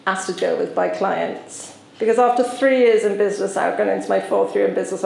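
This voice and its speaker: A woman from South Wales with a Welsh accent, giving a conference talk as a solicitor and explaining with frequent pauses. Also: mid-range voice, gravelly, a little mellow, echoey room, low-quality recording. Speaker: tree law solicitor presenting. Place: conference venue.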